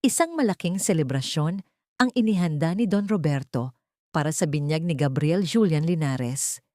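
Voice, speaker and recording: A woman narrating a dramatic audiobook story in a steady storytelling manner. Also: low voice, moderate pace, no room sound, studio quality.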